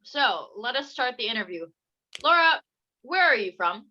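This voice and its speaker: Silly young host, bright sharp voice, kinda muffled lo-fi recording. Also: female voice, a little high, big pitch swings, normal speed, a little hiss or hum. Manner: goofy, silly sing-song asides. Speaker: podcast host. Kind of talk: playful casual interview.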